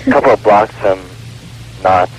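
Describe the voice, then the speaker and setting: Lower-pitched American man phoning a radio show. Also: moderate pace, husky. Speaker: phone-in caller. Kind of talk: casual, hesitant fan chat. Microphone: telephone. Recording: phone call on noisy radio broadcast.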